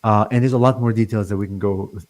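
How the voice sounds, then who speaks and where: Brief: man, forties, born in Lebanon, halting speech with a stutter, remote guest. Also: lowish voice, steady tone, a little mellow. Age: 40s. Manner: halting, many fillers and restarts, stutters. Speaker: guest channeler. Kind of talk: casual interview talk.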